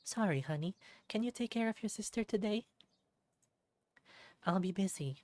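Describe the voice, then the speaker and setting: A narrator voicing a gentle, caring mother fox healer in a visual novel, soft, tender and serious. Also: medium pitch, animated, moderate pace, no room sound, pitched above the performer's own voice, close to an everyday voice. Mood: tender, worried.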